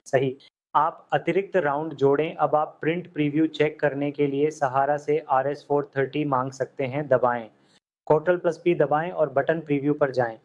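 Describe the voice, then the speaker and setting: A man teaching accounting software step by step, voiced over a screen recording, often asking the viewer what comes next. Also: fairly high pitch, fairly even intonation, moderate pace, dull audio. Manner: step-by-step instructions with questions to the viewer. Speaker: software tutor.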